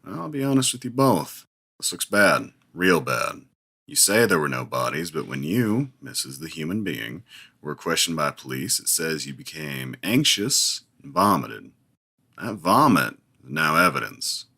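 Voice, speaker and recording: A man playing a hapless male lawyer, husky and gravelly, rambling hesitantly. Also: a bass voice, sing-song, measured pace, pushed and bright, heavily acted. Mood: worried. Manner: hesitant, rambling legal talk.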